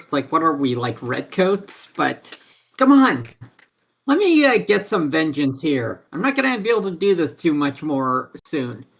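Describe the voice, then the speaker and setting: A man's voice: a little high, fairly bright tone. A man playing a tabletop roleplaying game, talking casually in a rambling, joking way.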